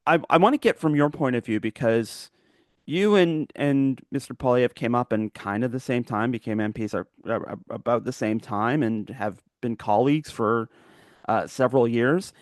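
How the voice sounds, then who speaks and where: A man's voice: medium pitch, normal speed, dry recording, studio quality. A man hosting a casual political interview. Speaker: interview host.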